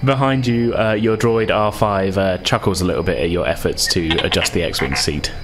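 A man's voice: low-pitched, moderate pace. A man narrating a tabletop role-playing story as game master, in prepared, descriptive prose.

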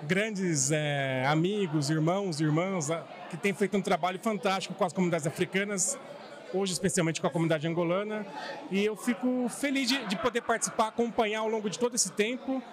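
A man, answering an interview politely in halting, measured phrases. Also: high-pitched. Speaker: interviewed guest. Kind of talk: spontaneous on-camera interview. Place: indoor hall at community event. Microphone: handheld interview microphone held by interviewer. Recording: crowd chatter behind the voice. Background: clear crowd murmur.

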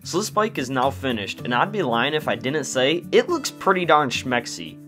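A man's voice: medium pitch, very animated, moderate pace, fairly bright tone, faint music behind. A teenage boy hosting a do-it-yourself video, narrating in a jokey, upbeat, playful way. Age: teens. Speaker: channel host. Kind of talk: casual DIY vlog narration.

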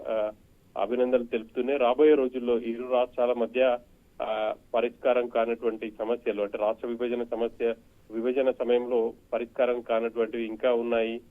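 Halting male reporter on a phone line. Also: mid-range voice. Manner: halting, hesitant, broken phrases. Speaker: news correspondent. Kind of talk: live unscripted phone report. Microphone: telephone. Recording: muffled narrow-band phone line.

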